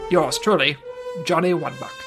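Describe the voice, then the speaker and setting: An actor playing a weary, wry and decisive hardboiled investigator in an old-time radio drama. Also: male voice, fairly high pitch, moderate pace, music in the background, lightly acted. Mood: resolute narration. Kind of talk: old-time radio detective drama, first-person report narration.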